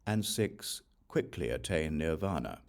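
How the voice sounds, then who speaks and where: A man with a low voice narrates a scripture audiobook. His delivery is slow and deliberate, with clear pauses, yet his pitch moves up and down with lively inflection. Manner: deliberate, enumerating each point with pauses. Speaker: audiobook reader. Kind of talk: slow, measured reading of scripture.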